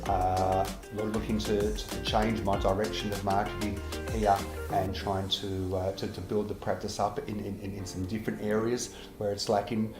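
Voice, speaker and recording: A man's voice: fairly low pitch, flat delivery, moderate pace, few pauses. A middle-aged man, a dentist, answering interview questions earnestly and often repeating words as he starts a sentence. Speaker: implant dentist. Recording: echoey room with music behind.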